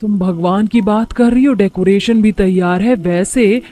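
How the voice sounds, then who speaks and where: A woman voicing an eager, competitive young daughter-in-law in animated story dialogue. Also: mid-range voice, a little slow, close to an everyday voice.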